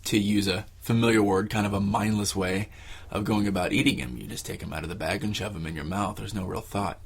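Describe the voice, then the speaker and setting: A man giving casual, reflective interview answers, haltingly searching for his words. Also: on the low side, steady tone, gravelly, breathy edge. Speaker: interviewee, exercise participant.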